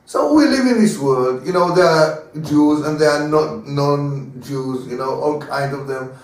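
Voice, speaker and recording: Male rabbi, storytelling lecturer, higher voice, recorded from a distance. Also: a little slow. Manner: storytelling, acting out voices in dialogue. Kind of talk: Torah class lecture. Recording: very echoey room, mic far away.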